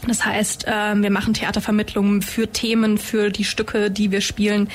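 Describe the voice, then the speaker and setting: A woman's voice: mid-range voice, monotone, moderate pace. A woman in her thirties who heads theater education, talking informally and upbeat about her work. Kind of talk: casual radio chat.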